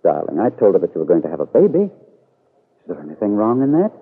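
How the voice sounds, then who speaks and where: An actor playing an evasive, smooth-talking man who placates and coaxes, in an old-time radio soap. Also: on the low side, wildly swooping pitch, brisk, full character voice. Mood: defensive, placating. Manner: placating, eager, chuckling. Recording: muffled narrow-band vintage radio recording.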